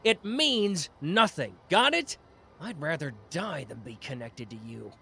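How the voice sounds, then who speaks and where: A man voicing a brash, hot-tempered young man who swears and flares up fast in an audio drama. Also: fairly high pitch, sing-song, pushed and bright, full character voice. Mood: irritated. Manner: gruff, swearing, quick to flare up. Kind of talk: ASMR roleplay audio drama.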